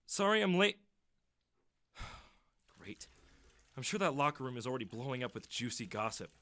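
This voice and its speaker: Man playing a bright-voiced, flustered teenage boy. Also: mid-range voice, big pitch swings, moderate pace. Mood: wary. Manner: flustered, hesitant. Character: awkward, exasperated teenage schoolboy, easily flustered. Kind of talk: audio drama acting.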